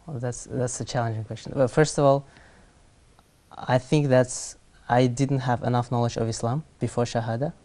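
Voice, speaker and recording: A young man in his twenties from Kazakhstan, a studio interview guest who answers in a soft, measured, hesitant way. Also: medium pitch, fairly even intonation. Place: TV studio set.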